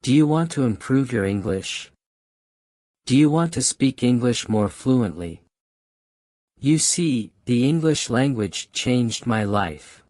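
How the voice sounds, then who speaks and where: A man's voice: mid-range voice, animated, slow, a little mellow, dry recording. A man with a Hong Kong Cantonese accent, a native Cantonese speaker, giving motivational advice to his viewers, emphatic and repeating key phrases. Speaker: channel host giving language advice. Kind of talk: motivational talk to camera.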